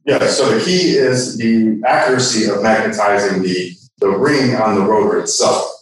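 A man giving an informal technical explanation as a product expert, halting as he searches for words. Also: fairly low pitch. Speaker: product expert guest demonstrating. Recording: echoey room, distant from mic.

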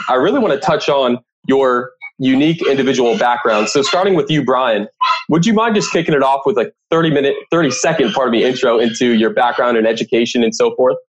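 A man's voice: mid-range voice, muffled recording, a little hiss or hum. A man hosting an interview podcast, introducing guests and putting questions to them in a conversational, presenter-like way.

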